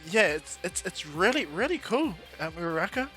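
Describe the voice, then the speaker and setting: A man voicing a nervous, earnest teenage boy hero in an anime story, halting and flustered. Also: high-pitched, big pitch swings, sharp tone, faint music behind, voice pushed up, full character voice. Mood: bashful.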